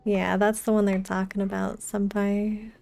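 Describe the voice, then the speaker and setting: A woman's voice: medium pitch, level tone, moderate pace, creaky, a little mellow. A woman chatting casually as she streams a video game, talking close to the microphone. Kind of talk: casual livestream chat and commentary.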